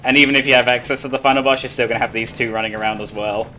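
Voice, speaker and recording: A man's voice: mid-range voice, fairly even intonation, rapid-fire, sharp tone, slight background noise, poor audio. A man casually commentating on his own live speedrun as he plays.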